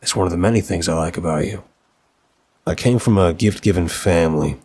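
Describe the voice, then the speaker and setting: Man playing a deep-voiced, sweetly apologetic mafia boss. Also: a little fast, husky. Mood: tender, admiring. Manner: polite, earnest, hesitant with stammers and soft chuckles. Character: apologetic, affectionate young mafia boss, devoted boyfriend. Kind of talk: intimate ASMR roleplay audio drama.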